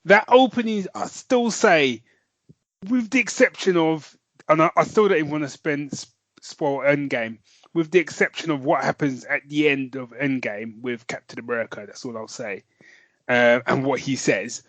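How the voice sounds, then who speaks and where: British male podcast co-host, higher voice, playful banter, close dry mic. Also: moderate pace, fairly bright tone, no echo. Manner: chatty, teasing, laughs often. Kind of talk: casual chat.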